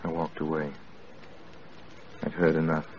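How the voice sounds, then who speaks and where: Deep theatrical radio-drama narrator man. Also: monotone. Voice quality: measured, theatrical, wistful narration. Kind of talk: 1940s radio acting. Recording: dull old radio broadcast with loud hiss.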